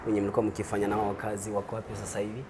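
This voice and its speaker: A man's voice: lowish voice, completely flat delivery, racing, noisy background. A man with a Kenyan accent, hosting a conversational interview. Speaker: interview host.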